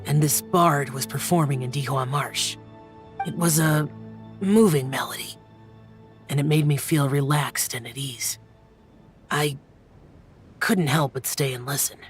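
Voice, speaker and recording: A woman's voice: deep voice, measured pace, pauses often, faint music behind. A woman voicing a reserved young male warrior guardian in a video game, terse and hesitant.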